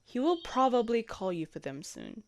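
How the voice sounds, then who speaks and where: Woman acting an animated, jealous lady knight. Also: a little high, normal speed, creaky, no echo. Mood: evasive, careful. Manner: expressive, blunt, sweary, teasing. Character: jealous, protective female royal knight and childhood friend. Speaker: voice actor in a romantic audio roleplay. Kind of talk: intimate scripted audio roleplay acting.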